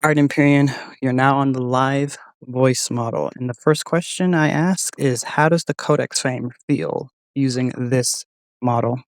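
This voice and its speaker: A man hosting a software demo in a casual, conversational way. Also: mid-range voice, fairly even intonation, unhurried, smooth, no echo, clean studio sound. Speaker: host testing an AI voice assistant.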